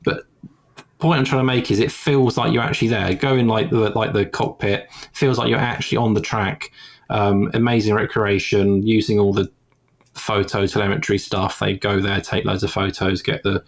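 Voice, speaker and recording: Rambling forty-year-old man, lowish voice. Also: flat delivery, moderate pace, vocal fry. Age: 40s. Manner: rambling, hesitant, many fillers. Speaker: podcast panelist. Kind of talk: casual chat.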